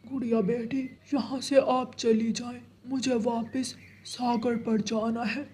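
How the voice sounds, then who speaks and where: Woman voicing a calm, kindly uncle cloud. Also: fairly high pitch, takes it slowly, breathy edge.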